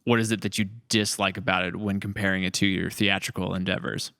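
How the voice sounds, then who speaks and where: A man's voice: low voice, flat delivery, quick pace, vocal fry, fairly bright tone, smooth, no echo, clean studio sound. A man hosting a casual podcast interview, asking questions and responding in an encouraging, affirming way. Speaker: podcast host.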